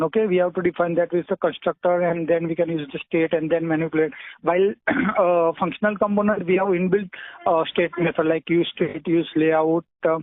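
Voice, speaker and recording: A man with an Indian accent answering technical interview questions over the phone, hesitant and halting, often clearing his throat. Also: sits high, no room sound. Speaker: job candidate being interviewed. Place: phone call. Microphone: telephone. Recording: tinny narrowband telephone line.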